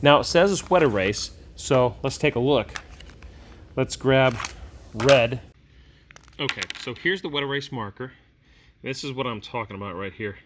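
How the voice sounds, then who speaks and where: A man's voice: medium pitch, moderate pace, long pauses, fairly bright tone, a little hiss or hum. Casual man reviewing gaming gear. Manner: conversational, thinking aloud. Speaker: host of a tabletop gaming product review channel. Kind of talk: casual hands-on product review.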